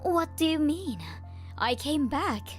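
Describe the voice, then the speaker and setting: Woman voicing a high, wheedling selfish mother. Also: expressive, takes it slowly, soft background music. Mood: pleading. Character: selfish runaway middle-aged mother, wheedling and shameless. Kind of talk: comic manga dub acting.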